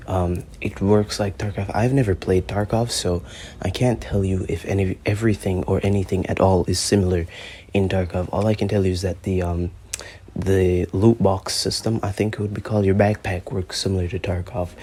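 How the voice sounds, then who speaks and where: A man's voice: low voice, mellow, a little hiss or hum. A teenage boy reviewing a mobile shooter as a YouTuber, rambling and joking, with plenty of profanity. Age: teens. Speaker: gaming YouTuber. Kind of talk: gameplay review commentary.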